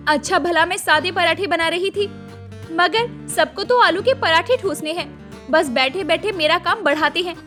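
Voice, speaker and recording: A woman's voice: very high voice, bright, forceful tone, full character voice. A woman playing a hardworking, harried young daughter-in-law in a dramatised family story, exclaiming theatrically. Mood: exasperated. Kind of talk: dramatised Hindi moral-story acting.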